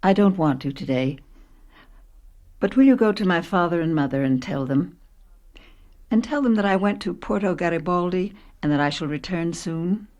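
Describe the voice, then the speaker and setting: An actress playing a composed, educated woman in a radio play, speaking in a measured, reflective way. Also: fairly low pitch, a little mellow, lightly acted. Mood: gentle, reserved. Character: composed, educated woman narrating, inhabiting a poor daughter. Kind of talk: radio play narration and dialogue.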